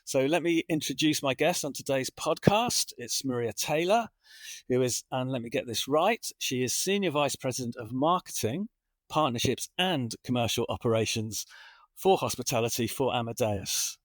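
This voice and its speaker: A man's voice: mid-range voice, animated, moderate pace, no room sound. A man hosting a corporate podcast, chatty and loosely structured, repeating phrases while he frames his questions. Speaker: podcast host.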